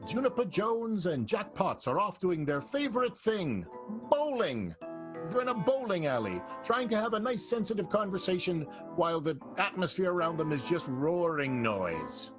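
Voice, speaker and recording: A man playing an excitable, melodramatic narrator of an improvised old-time radio soap opera. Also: high voice, moderate pace, music in the background. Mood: excited, dramatic. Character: excitable melodramatic male serial announcer.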